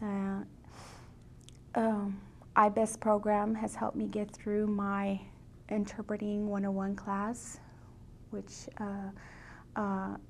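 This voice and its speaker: A woman student giving an interview testimonial, speaking haltingly as she searches for words. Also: darkish tone. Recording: background noise with low rumble.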